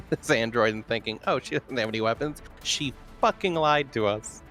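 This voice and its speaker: A man with a mid-pitched voice chats as a player in a tabletop roleplaying game. He talks in a casual, slangy, joking way, with music behind him. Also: normal speed, fairly bright tone.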